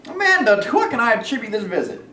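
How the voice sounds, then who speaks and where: A man in a staged play reading, playing a smooth, pressuring company businessman who persuades with veiled threats. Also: extremely high pitch, very animated, fast, bright, forceful tone, heavily acted. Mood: suggestive. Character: smooth, pressuring steel company businessman, wealthy family heir. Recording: echoey room, distant mic, faint noise.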